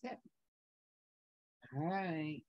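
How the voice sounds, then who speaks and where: A woman's voice: sits low, lively intonation, drawn-out words, no room sound, dull audio. A woman teaching a quilting technique in a chatty, upbeat way, wandering into asides while she sews. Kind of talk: casual sewing tutorial.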